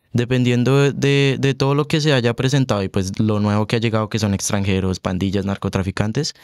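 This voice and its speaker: A young man from Colombia with a Bogotá accent, a student guest speaking on a radio discussion in a halting way, full of fillers and restarts. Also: fairly low pitch, a little mellow, clear, resonant tone, no room sound, clean studio sound. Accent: Bogotá Colombian Spanish. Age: young adult. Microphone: studio microphone.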